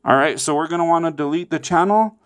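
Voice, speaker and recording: A man with a fairly high, clean and smooth voice walks through instructions step by step. His delivery is casual and informal, and he keeps pausing to check in as he teaches. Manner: repeatedly checks in with "okay" and "all right". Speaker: tutorial presenter.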